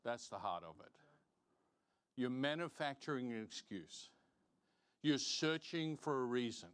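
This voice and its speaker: Male preacher for a Sunday school lesson. Medium pitch, deliberate, emphatic, leans on repetition. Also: clear, resonant tone. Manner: emphatic, deliberate, repeats phrases for stress. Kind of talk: sermon, Bible teaching with verse reading. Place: church, live-streamed service.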